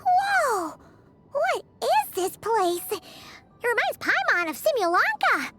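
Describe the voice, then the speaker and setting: A woman voicing a tiny, childlike, chirpy and impatient fairy companion in a video game, with a squeaky childish delivery. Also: sing-song, slow pace, exaggerated voice. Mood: amazed. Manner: squeaky, childish, refers to herself in third person. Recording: music behind the voice.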